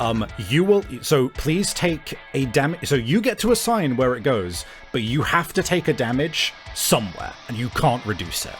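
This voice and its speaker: Male game master, mid-pitched, narrating tabletop fantasy play in a halting improvised delivery, light music underneath. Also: sing-song.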